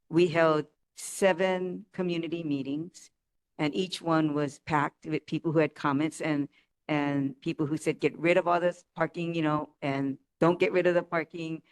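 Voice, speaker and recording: Woman, board chair, community meeting, brisk, directs speakers, very low pitch. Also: clean tone.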